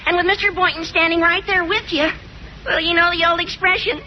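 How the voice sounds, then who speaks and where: An earnest, excitable teenage schoolboy with an extremely high, squeaky voice. He talks in florid 1940s radio comedy style, on a dull, noisy old recording. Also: a little fast. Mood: eager, scheming. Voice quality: squeaky adolescent voice. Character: eager, squeaky, lovesick-matchmaking teenage schoolboy. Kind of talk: 1940s radio sitcom acting.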